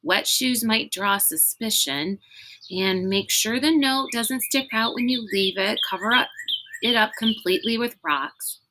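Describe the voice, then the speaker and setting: A woman reading a children's novel aloud in an expressive, dramatic storytelling manner. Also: on the high side, a little slow, noisy background. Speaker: reader reading a book aloud.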